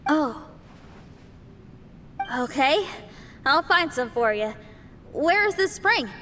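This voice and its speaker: A woman voicing a calm, helpful young female traveler in a video game, gentle and earnest. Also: sits high, slow, slight background noise, exaggerated voice.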